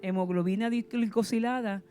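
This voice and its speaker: A woman with a Puerto Rican accent preaches with fervor, firing rhetorical questions and punching key words. Her voice sits in a middle pitch with a dark, mellow tone. Faint instrumental music plays beneath her. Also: fairly even intonation, moderate pace. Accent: Puerto Rican Spanish. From Puerto Rico. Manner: passionate preaching with rhetorical questions and sudden emphasis. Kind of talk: sermon.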